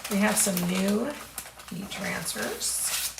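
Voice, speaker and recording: A woman hosting a casual craft product showcase, chatty and unhurried, who is losing her voice and clears her throat often. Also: mid-range voice. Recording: echoey room with background noise.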